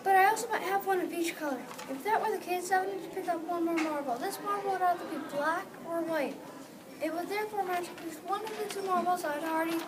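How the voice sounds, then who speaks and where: A female reader playing an eager, studious young daughter in a readers theatre play. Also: very high-pitched. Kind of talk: readers theatre, reading from a script. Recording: distant mic, loud noisy room chatter.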